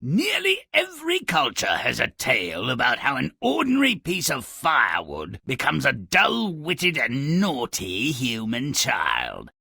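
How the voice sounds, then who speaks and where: An actor playing a sardonic, scornful gnomish fairy tale narrator in a video game, with a raspy voice and wry theatrical delivery. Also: male voice, medium pitch, pushed and bright, no room sound, clean studio sound, full character voice. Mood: wry storytelling. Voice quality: gravelly, raspy.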